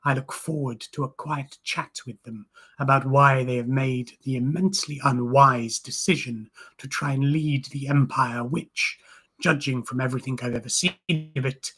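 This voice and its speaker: A woman voicing an ancient, wry and commanding female crone creature in a storybook reading, with a raspy voice and a slow, low, knowing manner. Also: steady tone. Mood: dry.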